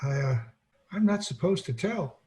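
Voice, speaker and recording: An actor playing an earnest, apologetic, bumbling elderly guardian angel in an old-time radio play. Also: male voice, high voice, animated, normal speed, a little mellow. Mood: flustered, earnest.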